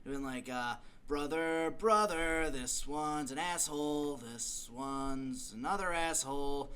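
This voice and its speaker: A man's voice: fairly high pitch, slow, fairly bright tone, a little hiss or hum. A man voicing a brash, foul-mouthed, sarcastic teenage delinquent boy in an anime parody dub. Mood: musing. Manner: cocky, sardonic.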